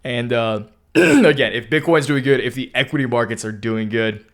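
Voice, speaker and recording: A young man hosting a stock market video, talking casually through charts with a hoarse voice, often clearing his throat. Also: mid-range voice, moderate pace. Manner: keeps clearing his throat, upbeat and hype-driven. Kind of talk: casual chart analysis talk.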